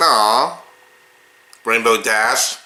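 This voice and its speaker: A man's voice: mid-range voice, extremely slow, pushed and bright, a little hiss or hum. A man giving casual reaction commentary with wry, quipping asides. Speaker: reaction video host. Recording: roomy echo with music behind. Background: audio drama with music.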